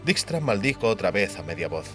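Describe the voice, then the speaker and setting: Low-voiced man narrating a fantasy audiobook. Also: sing-song, brisk, sharp tone, soft background music. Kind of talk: scripted narration of a fantasy novel.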